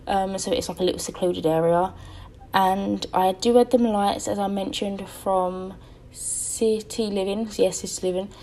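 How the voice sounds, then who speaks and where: A young woman chats her way through a voice-over, her voice tired and faintly crackly. She rambles and stops often to correct herself, with plenty of "um" between thoughts. Her pitch sits right in the middle for a woman. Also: mellow. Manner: rambling, chatty, self-correcting with many ums. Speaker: game building YouTuber. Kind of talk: casual voice-over over gameplay.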